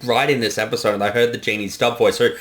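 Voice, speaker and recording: A man's voice: mid-range voice, fairly even intonation, quick pace, fairly bright tone. A man giving casual, enthusiastic director's commentary on his episode.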